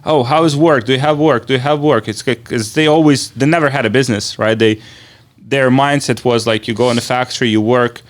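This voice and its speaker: Halting, rambling male interviewer. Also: medium pitch, steady tone. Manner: halting, rambling phrasing with repeated filler words. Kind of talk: casual conversational interview.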